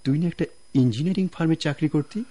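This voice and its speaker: A man's voice: on the high side, rapid-fire, warm tone, natural voice. A man with a Bangladeshi accent playing a joking, mock-humble field officer in a radio drama, playfully theatrical. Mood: wry, confiding. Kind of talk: Bengali radio drama acting.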